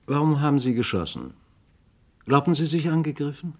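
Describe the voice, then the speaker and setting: An actor playing a sceptical police inspector with a cutting voice, questioning briskly and drily in a 1960s radio crime drama. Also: male voice, fairly high pitch, animated, darkish tone. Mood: probing, sceptical. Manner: brisk, ironic interrogation.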